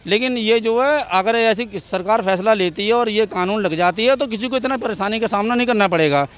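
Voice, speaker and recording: High-pitched man giving street opinions. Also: a little fast. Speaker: member of the public giving opinion.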